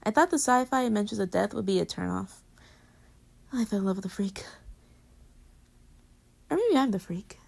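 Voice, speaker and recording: A woman playing an affectionate, teasing girlfriend with a hidden insecurity, in intimate pillow-talk roleplay audio, often slipping into breathy whispers. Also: medium pitch, expressive, normal speed, long pauses. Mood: whispered teasing.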